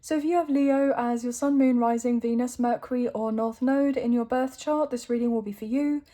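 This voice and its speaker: A woman with a croaky throat giving a chatty tarot reading to camera, thinking aloud as she draws cards. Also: on the high side, fairly even intonation, normal speed, mellow. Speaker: tarot reader.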